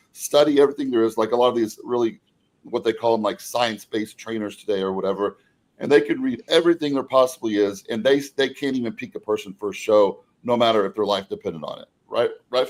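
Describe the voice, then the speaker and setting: A man's voice: mid-range voice, a little fast. A man in his forties hosting a casual podcast chat, talking bluntly and rambling, with swearing. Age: 40s. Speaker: podcast host.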